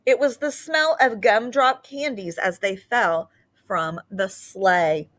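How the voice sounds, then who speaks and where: A woman storyteller reads a picture book to children. Her delivery is animated and expressive, lingering on important words, at a middling pitch. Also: a little hiss or hum. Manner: animated, drawn-out emphasis on key words. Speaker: read-aloud storyteller.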